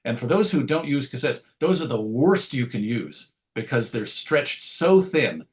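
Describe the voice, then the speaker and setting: A man's voice: mid-range voice, big pitch swings, a little slow. A middle-aged American man telling stories as an interview guest, discursive, self-deprecating and enthusiastic about his subject. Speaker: interview guest, radio show host. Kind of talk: reflective conversational interview storytelling.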